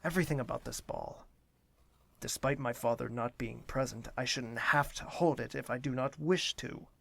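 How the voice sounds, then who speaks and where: An actor playing a burdened young prince in an audio drama, speaking formally and introspectively with a weary manner. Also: male voice, medium pitch, normal speed, gravelly, a bit bright. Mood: weary, reluctant.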